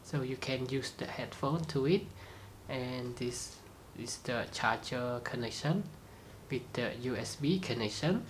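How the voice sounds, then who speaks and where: A presenter giving a step-by-step product demonstration in simple, halting sentences with non-native grammar. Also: medium pitch, noisy background. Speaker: tutorial presenter.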